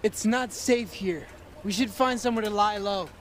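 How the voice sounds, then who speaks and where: A man acting a cocky, jittery young wisecracker in a video game drama, excitable and sarcastic. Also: high-pitched, normal speed, slight background noise, close to an everyday voice. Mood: flippant.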